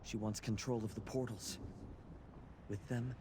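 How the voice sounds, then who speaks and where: A man's voice: lowish voice, fairly even intonation, measured pace, lightly acted. A man playing a bitter, anxious cult initiate turned informant in a video game, grumbling and wary. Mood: wary, urgent. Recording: clear background noise of game ambience.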